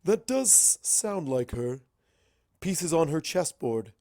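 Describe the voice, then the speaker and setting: A narrator voicing a gruff, cynical old male wizard pony who lectures bluntly and emphatically in a storybook reading. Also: mid-range voice, very animated, slow, mellow, no room sound, clean studio sound, voice pushed up. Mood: bitter, resigned.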